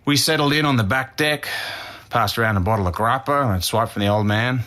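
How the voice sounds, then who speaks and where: Male actor playing Australian suspect, twenties, mid-pitched, blunt and defensive but earnest, naturalistic audio drama. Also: fairly quick, bright, forceful tone, lightly acted. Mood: casual, reminiscing. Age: 20s. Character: defensive young Australian man, a murder suspect giving an interview.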